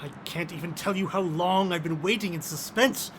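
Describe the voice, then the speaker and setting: An actor playing an educated gentleman, a scientist's wary loyal friend, speaking formally and expressively in a radio drama. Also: high-pitched, normal speed, noisy background, natural voice. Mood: curious, supportive. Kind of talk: theatrical radio drama acting.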